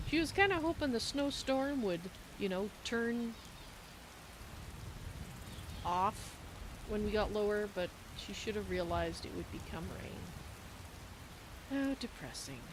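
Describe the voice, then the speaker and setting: A woman voicing a gentle, fussy female cat-folk traveler in a game roleplay, musing aloud about herself in the third person. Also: medium pitch, unhurried, close to an everyday voice. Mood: weary, grumbling. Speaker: livestream roleplayer. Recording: steady background noise.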